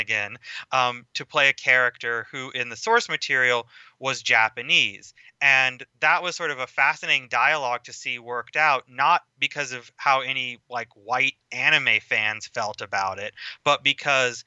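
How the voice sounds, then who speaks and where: Bright, crisp-voiced man explaining at length. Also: medium pitch, no echo, dull audio. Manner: measured, explanatory, lecturing at length. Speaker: podcast guest. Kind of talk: casual film podcast discussion.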